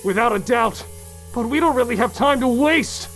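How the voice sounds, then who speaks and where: A man's voice: very high voice, normal speed, fairly bright tone, soft background music. A man playing a wounded but protective male killer in a horror audio drama, strained, emotive and urgent. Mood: urgent, determined.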